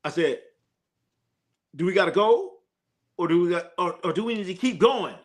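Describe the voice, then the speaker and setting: A man's voice: high voice, expressive, normal speed. Man, live stream host, casual slangy banter, jokey, filler-heavy. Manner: loose, joking, slangy banter with frequent fillers. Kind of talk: casual live stream chat reading comments.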